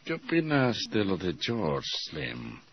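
A man's voice: sits low, huge pitch swings, slow, slightly rough, exaggerated voice. An actor playing a smug, suspicious, coolly commanding male gangster boss in a radio drama. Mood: probing, suspicious. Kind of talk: Spanish-language radio drama acting. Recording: muffled, noisy old radio drama recording.

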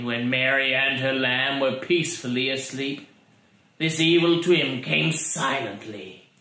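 Guy doing a slow theatrical poem reading, mock serious, kinda roomy sound. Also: medium pitch, bright, forceful tone. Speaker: poetry reader. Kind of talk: dramatic comic poetry reading. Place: living room by a fireplace.

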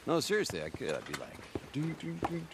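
A man playing a laid-up, joking survivor in a game cutscene, gravelly and halting. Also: mid-range voice, wildly swooping pitch, noisy background. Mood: playful. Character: laid-up, joking male survivor, big-hearted buddy. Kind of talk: dramatic video game cutscene acting.

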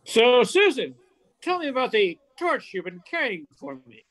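A woman's voice: on the high side, big pitch swings, moderate pace. An older woman playing a wisecracking, scheming male reporter in a radio play, breezy and wry, over a video call. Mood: sly, scheming. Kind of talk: old-time radio play acting.

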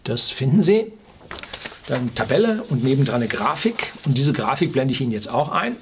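A man's voice: medium pitch, big pitch swings, normal speed, slight background noise. A man in his sixties from Germany's Ruhr area, giving an opinionated, informal explainer to camera, emphatically and with rhetorical questions. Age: 60s. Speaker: video blogger. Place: small furnished room indoors.

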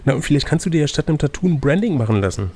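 Husky man reading a gamebook aloud. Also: on the high side, big pitch swings, racing, mellow. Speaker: narrator and game master.

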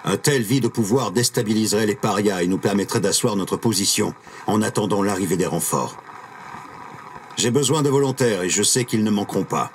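Low-voiced resolute soldier. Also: male voice, a little hiss or hum. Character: resolute male supersoldier squad leader. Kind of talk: video game character acting.

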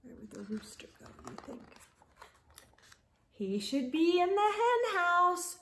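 A middle-aged woman hosts a children's storytime. Her voice is high and swings widely in pitch, with an expressive, sing-song delivery. She takes the words slowly, as if for very young listeners. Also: noisy background. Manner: expressive, sing-song delivery with animal sounds and hushed asides. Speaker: children's storytime host reading aloud. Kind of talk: picture-book read-aloud for young children. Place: living room or home library with bookshelves.

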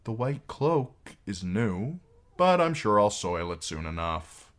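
A man's voice: on the low side, big pitch swings, slow, pitched above the performer's own voice. A man voicing a bitter, cynical knight commander in an audiobook, speaking in a dry, sardonic, commanding way. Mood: wry, cynical.

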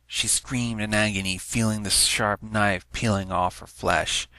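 A man's voice: low-pitched, gravelly, sharp tone, no echo, poor audio. A man narrating a written story, reading it aloud as scripted prose.

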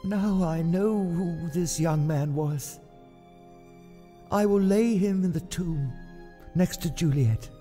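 A man's voice: fairly high pitch, slow, pauses often, warm tone, in a large hall, soft background music, pitched above the performer's own voice. A man voicing a doomed, resolute young lover, earnest and theatrical, in a dramatized classic. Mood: regretful. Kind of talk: dramatized illustrated-classic audio play.

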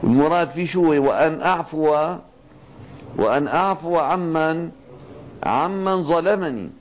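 An older man with a Levantine Arabic accent giving a Ramadan evening sermon in a mosque, speaking in a fatherly way with slow, emphatic repetition. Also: fairly high pitch. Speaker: sheikh. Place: mosque, seated at a pulpit desk. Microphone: two stand microphones on the desk.

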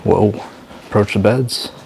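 Low gravelly man exploring a ruin. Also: unhurried, darkish tone, slight background noise. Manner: low-key, observational, thinking aloud. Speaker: urban explorer host. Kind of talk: walking vlog narration. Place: inside an abandoned building.

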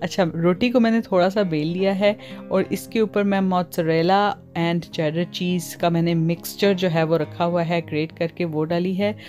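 A woman's voice: fairly low pitch, fairly even intonation, a little slow, a little mellow, soft background music. A woman with a Pakistani accent hosts a cooking tutorial in voice-over, mixing English words and phrases into her talk. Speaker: cooking channel host.

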